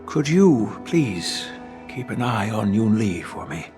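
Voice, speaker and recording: A man playing a composed, formal elder general and grandfather in a video game, with measured, courteous speech. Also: fairly low pitch, sing-song, over music. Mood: weary, apologetic. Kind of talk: English game voice acting.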